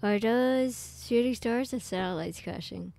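A woman's voice: on the high side, a little slow, vocal fry, dark tone. Giggly, rambling woman streamer. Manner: chatty, giggly, rambling with many fillers. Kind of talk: casual live game commentary.

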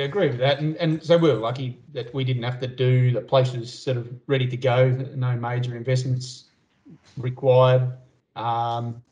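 A man's mid-pitched voice comes through slightly muffled video-call audio. He talks casually and hesitantly as a farmer on a panel, working out his answers as he goes. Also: a little mellow. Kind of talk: casual question-and-answer talk.

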